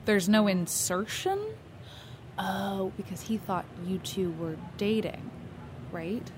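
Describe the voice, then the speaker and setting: A woman's voice: medium pitch, fairly even intonation, slow, soft background music, natural voice. An actress playing a self-conscious, mildly chiding young woman in a naturalistic comedy audio drama. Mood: puzzled.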